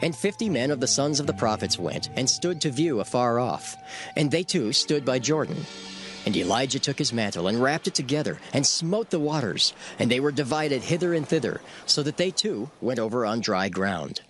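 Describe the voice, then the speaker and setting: A man narrates dramatized scripture in a formal storyteller's manner. His mid-pitched voice moves widely and expressively through the archaic text, over clearly audible music. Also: lively intonation, moderate pace, a bit bright.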